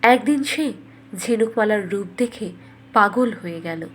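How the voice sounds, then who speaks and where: A woman storyteller narrating a scripted fairy tale in a measured, dramatic way. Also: mid-range voice, lively intonation, slight background noise.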